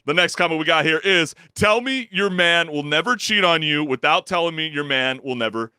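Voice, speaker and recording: A young man co-hosting a gaming talk show, who reads viewer comments aloud and laughs often in a low baritone that sometimes squeaks when he gets excited. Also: moderate pace, clean tone, dry recording, clean studio sound. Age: young adult. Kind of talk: casual chat.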